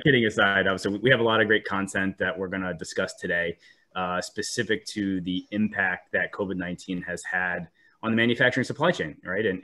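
A man's voice: sits low, sharp tone. A man with a cold, sounding a bit sniffly, presenting a webinar over a video call with frequent ums and restarts. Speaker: 3D printing specialist presenting a webinar.

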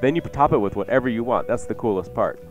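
A man's voice: mid-range voice, expressive, fast, muffled recording. An American man hosting a radio cooking show, chatting casually and explaining things in an informal, bantering way. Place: radio studio. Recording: radio broadcast with music behind. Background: music.

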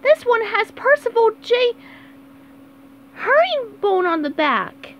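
A woman voicing a cheerful, eager young male sea sponge in an amateur comic dub, talking in a chirpy, quick way. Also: extremely high pitch, sing-song, a bit bright, pitched above the performer's own voice, full character voice. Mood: cheerful, helpful.

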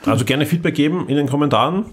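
A man with a slightly husky voice, chatting on a podcast about audio dramas. He speaks in a relaxed, chatty way and stumbles now and then. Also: on the high side, racing. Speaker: podcast co-host.

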